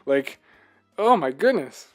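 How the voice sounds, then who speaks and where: Guy gushing about games on a podcast, kinda high voice, rambly, faint music behind him. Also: sing-song, takes it slowly, fairly bright tone, dry recording. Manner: enthusiastic, gushing; rambling, jumps between thoughts. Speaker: podcast host. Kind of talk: casual video game discussion.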